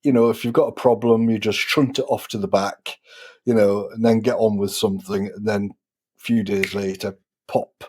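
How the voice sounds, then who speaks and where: A man's voice: on the low side, steady tone, moderate pace, darkish tone, slightly rough. A man hosting a podcast, chatting casually and informally with his guest. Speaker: podcast host. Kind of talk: casual interview chat.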